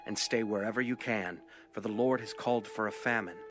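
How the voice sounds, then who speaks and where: A man's voice: fairly low pitch, fairly quick, fairly bright tone, soft background music, natural voice. An actor playing a solemn, commanding male prophet in an audio Bible drama.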